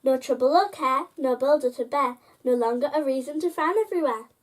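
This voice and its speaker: A high-voiced woman reads a bedtime picture book aloud. Her delivery is expressive and sing-song, following the rhymes, and she turns to speak straight to young listeners. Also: brisk. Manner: sing-song, addressing children directly. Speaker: children's storyteller.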